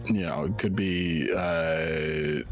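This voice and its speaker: A man's voice: low-pitched, drawn-out words. A man on a live stream talking casually as a guest, rambling with lots of fillers and swearing. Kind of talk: casual chat. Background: background music.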